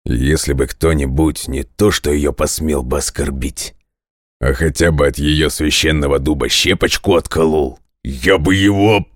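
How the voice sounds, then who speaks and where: Guy acting as a mighty storybook god, super deep voice, commanding, clear diction, dry recording. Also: no room sound. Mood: confiding, proud. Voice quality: very deep, resonant, clearly enunciated. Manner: weighty, commanding, deliberate. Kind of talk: audio fairy-tale character acting.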